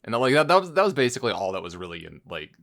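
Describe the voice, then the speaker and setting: A man's voice: lowish voice, very animated, racing, a bit bright. A man co-hosting a gaming podcast, talking informally and jokingly in a casual chat. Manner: informal, jokey. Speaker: podcast co-host. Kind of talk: casual chat about a video game.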